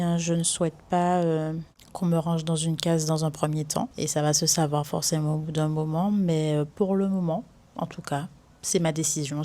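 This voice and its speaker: A woman's voice: on the low side, dark tone. A young woman from Guadeloupe introducing herself in her first vlog, speaking chatty and enthusiastic with casual fillers. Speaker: YouTuber. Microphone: microphone with camera in front.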